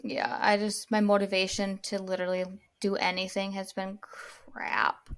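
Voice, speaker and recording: A woman's voice: mid-range voice, little pitch movement, moderate pace, clean tone. Woman in her 30s just chatting on a podcast, laid back, kinda rambly. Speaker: podcast co-host. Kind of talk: casual chat.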